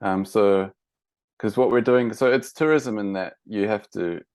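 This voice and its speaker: A man with a New Zealand accent, guest on a travel podcast, explaining at length in a relaxed way with many fillers and restarts. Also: fairly low pitch, measured pace, smooth, no room sound, studio quality. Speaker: guest, mountain monk trainer. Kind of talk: casual interview.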